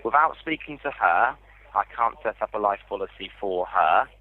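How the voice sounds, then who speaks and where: A man's voice: on the low side, lively intonation, moderate pace. A man working as an insurance call handler, patiently explaining things over a tinny phone line. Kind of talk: customer service phone conversation.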